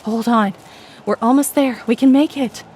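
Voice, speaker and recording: A woman voicing an annoyed, bossy young female student in an audio drama, brisk and sarcastic but helpful. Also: on the high side, a little mellow, slight background noise, pitched above the performer's own voice, lightly acted. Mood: urgent, insistent.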